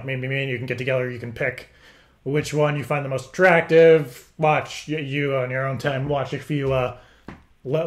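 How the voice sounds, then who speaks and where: A man talking casually on a phone call as if interviewing someone, in a rambling, stammering, halting way. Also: mid-range voice. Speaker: prank caller.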